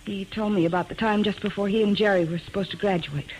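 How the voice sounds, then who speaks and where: A woman's voice: medium pitch, fairly even intonation, fast, a little mellow, lightly acted. Actress as strained young mother pleading her case, American, earnest 1940s radio acting, faint hiss. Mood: earnest, defensive. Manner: earnest, defensive. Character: strained, defensive young mother fighting to keep her child. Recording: noisy vintage radio drama recording.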